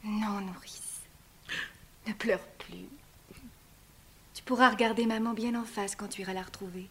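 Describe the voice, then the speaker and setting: A young actress voicing a stubborn but tender princess, speaking softly and often in a whisper in a stage drama. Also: female voice, medium pitch, fairly even intonation, measured pace, slightly rough. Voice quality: soft, often whispered delivery.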